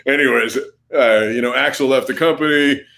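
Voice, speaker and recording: A man's voice: medium pitch, a little fast, creaky, fairly bright tone. A man appearing as a writer guest on an interview podcast, chatting casually in a self-deprecating, joking manner. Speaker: comic book writer, guest.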